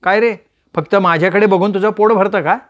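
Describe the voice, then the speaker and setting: Male reader doing a high, playful young woman; chatty teaser in a storybook reading. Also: fairly even intonation, lightly acted. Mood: teasing. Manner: teasing, quick, bantering. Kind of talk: storytelling with voiced characters.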